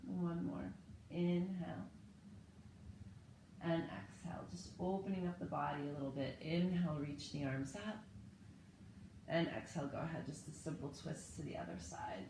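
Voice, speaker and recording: A woman in her thirties, slightly hoarse, calmly leading a guided yoga and breathing session from her living room. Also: low-pitched, normal speed, pauses often. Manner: calm, gentle cueing with breath. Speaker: yoga and meditation teacher. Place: living room at home, indoors. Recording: echoey home room, faint background noise.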